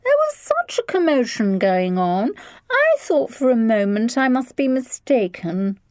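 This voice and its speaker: A woman's voice: fairly high pitch, sing-song, a little slow, a little mellow, dry recording, studio quality, pitched above the performer's own voice. A woman voicing a posh, gracious upper-class lady who speaks in a languid, affected way.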